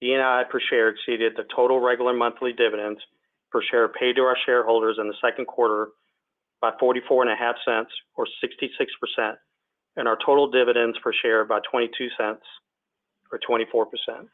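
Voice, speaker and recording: Male finance executive, mid-pitched, even and formal scripted read, narrow telephone audio. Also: a little fast. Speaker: company finance executive presenting quarterly results. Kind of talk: scripted earnings presentation. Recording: tinny narrow phone line.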